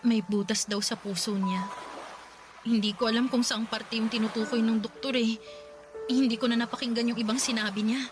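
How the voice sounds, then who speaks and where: A woman's voice: fairly high pitch, fairly even intonation, normal speed, lightly acted. A woman playing a distraught, snappish young wife and mother in a Tagalog radio drama, blunt and exasperated. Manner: exasperated, blunt, sharp-tongued. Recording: music behind the voice.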